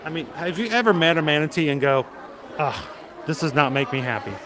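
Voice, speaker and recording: A man's voice: fairly high pitch, lively intonation, brisk, a crowd in the background, noisy background. A man hosting a casual livestream, chatting and joking with viewers as he walks an outdoor theme park; he stumbles and repeats words now and then. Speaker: livestream host. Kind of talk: casual live-stream chat with viewers.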